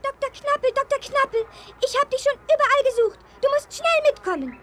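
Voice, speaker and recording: A woman playing an agitated young female seagull with a shrill, squeaky voice in a children's audio play. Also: lively intonation, a little fast, fairly bright tone. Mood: agitated, alarmed. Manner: agitated, urgent. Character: excited young female seagull, a worried friend.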